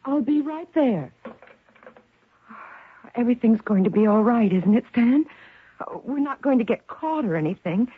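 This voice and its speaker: A woman's voice: on the high side, expressive, unhurried, pauses often, husky. An actress playing a naive, nervous young woman in on a swindle, hesitant and questioning, in a radio drama. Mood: anxious. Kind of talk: 1970s radio drama acting. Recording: tinny, band-limited old radio recording.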